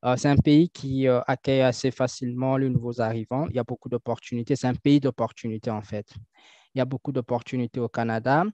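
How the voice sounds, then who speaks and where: A man's voice: mid-range voice, steady tone, fast talker, dark tone, no echo. Man guest, hesitant and filler-heavy, dry dull audio. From French-speaking country. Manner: hesitant, many fillers and restarts. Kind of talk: interview answers. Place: Toronto.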